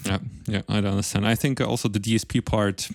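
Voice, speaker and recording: A man's voice: lowish voice, flat delivery, fast talker, creaky. A man hosting a podcast, putting casual questions and remarks to his guest. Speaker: podcast host, interviewer.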